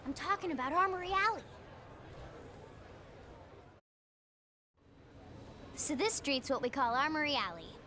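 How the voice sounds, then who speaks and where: Perky pushy young game tour guide girl, high bouncy voice, noise behind her. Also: animated, quick pace, bright, forceful tone. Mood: excited, chatty. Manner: bubbly, pushy, playful. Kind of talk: video game cutscene acting.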